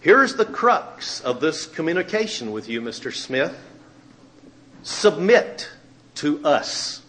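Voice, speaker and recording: Male reader, bit high voice, dramatic letter reading with lots of pitch movement. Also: sing-song, takes it slowly, a bit bright. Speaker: magazine editor and radio minister.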